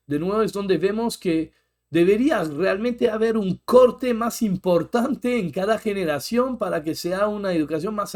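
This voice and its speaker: Guy, highish voice, thoughtful podcast co-host, restarts here and there. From French-speaking country. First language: French. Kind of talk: reflective conversation.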